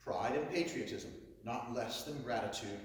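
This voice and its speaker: A man giving a declamatory reading of a historic oration, with booming, dramatic swells and drawn-out stresses. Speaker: orator reading a historic speech. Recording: echoey hall, heard from far off.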